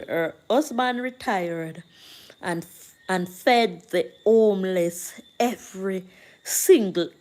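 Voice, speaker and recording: A Jamaican woman hosting a casual livestream, chatting to viewers and reading out their comments in a slow, preachy way. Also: medium pitch, a little mellow.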